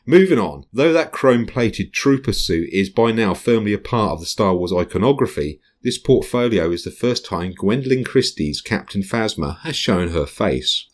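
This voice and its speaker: Deep-voiced man musing casually. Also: lively intonation, normal speed, hardly any pauses. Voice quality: low voice, hesitant with many ums. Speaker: podcast host. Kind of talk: casual chat, reading news aloud.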